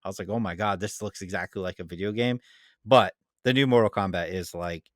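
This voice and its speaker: A man's voice: deep voice, steady tone, fairly quick, no room sound, clean studio sound. A man hosting a podcast, chatting casually and informally as he debates. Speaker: podcast host.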